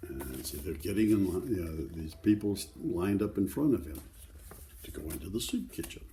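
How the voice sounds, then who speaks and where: A man's voice: sits low, animated, normal speed, mellow, slight background noise. An older man reading a children's book aloud, adding simple conversational asides to explain the pictures. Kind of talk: read-aloud storytelling with informal asides to children.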